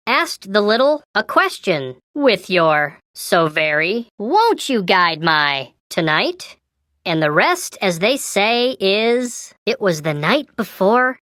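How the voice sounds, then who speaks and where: A woman's voice: mid-range voice, fairly bright tone, no room sound, studio quality. A woman voicing a cheerful little Christmas elf toy, telling children's stories in a perky, exaggerated storybook manner.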